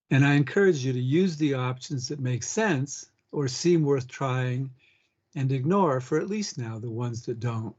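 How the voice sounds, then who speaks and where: A man's voice: mid-range voice, darkish tone. Man guiding a meditation, slightly muffled sound. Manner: unhurried, pausing between short phrases. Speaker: meditation guide. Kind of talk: guided meditation, gentle instruction.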